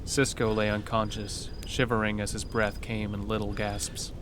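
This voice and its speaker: Man, narrator, lowish voice, scripted horror western storytelling, slight ambience behind. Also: little pitch movement, moderate pace, noisy background.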